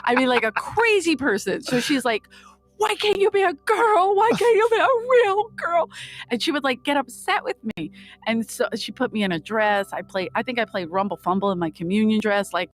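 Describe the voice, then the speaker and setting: A woman's voice: fairly high pitch, lively intonation, moderate pace. A middle-aged American woman chatting casually and acting out conversations as she tells stories, swearing freely. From Philadelphia, United States. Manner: laughs often, swears freely, acts out quoted dialogue. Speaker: podcast guest, performer. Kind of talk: casual chat, animated storytelling.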